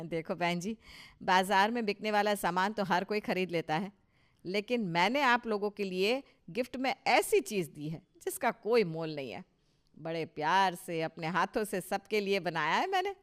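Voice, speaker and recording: A woman's voice: medium pitch, animated, moderate pace, lightly acted. Woman as proud, touchy older mother-in-law. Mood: proud, gracious. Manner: self-satisfied, emphatic. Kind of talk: Hindi cartoon story dialogue.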